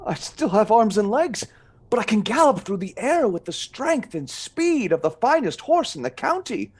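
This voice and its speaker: Bold, swooping young hero. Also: male voice, high voice, big pitch swings, normal speed, slightly rough, full character voice. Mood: amazed. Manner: decisive, dramatic. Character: bold, determined brother plotting against a witch. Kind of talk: radio play acting.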